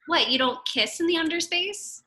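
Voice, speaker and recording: A woman's voice: extremely high pitch, moderate pace, pushed and bright. A woman playing a lovestruck, needy young girlfriend in a gushing, dramatic way at a script table read.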